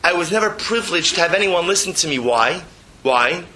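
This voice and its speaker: High, bright-voiced man teaching religious text. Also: expressive, a little fast. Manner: emphatic, repeats phrases, rhetorical questions. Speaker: rabbi giving a Torah class. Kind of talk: religious text lecture, reading and translating.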